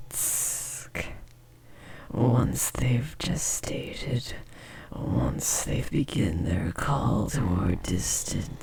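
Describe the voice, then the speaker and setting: A man voicing a possessive, menacing insectoid parasite devoted to his mate in a horror audio roleplay, with a breathy, gravelly whisper and a condescending manner. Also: medium pitch, a little mellow, a little hiss or hum, exaggerated voice. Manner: slow, sinister, coolly condescending, tender about family.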